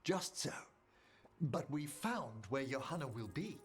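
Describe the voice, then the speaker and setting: An actor playing a fretful, eloquent gentleman necromancer in a fantasy video game, husky-voiced, formal and dramatic. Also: a little high, very animated, measured pace. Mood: grave, urgent. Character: theatrical, fretful gentleman necromancer afraid of death.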